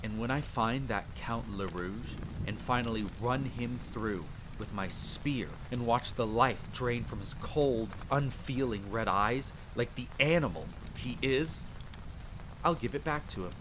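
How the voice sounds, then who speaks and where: A man's voice: medium pitch, poor audio. A man playing a young, brash but good-natured vampire hunter and former street thief, telling his story informally and theatrically in an audio roleplay. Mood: bitter resolve. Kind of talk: dramatic campfire storytelling roleplay. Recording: steady rain and fire ambience noise. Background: rain, rolling thunder, campfire ambience.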